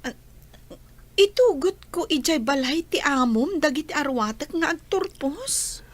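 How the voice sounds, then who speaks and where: A woman playing a gentle young college student in love, in a radio drama. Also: high voice, animated, a little slow. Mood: friendly.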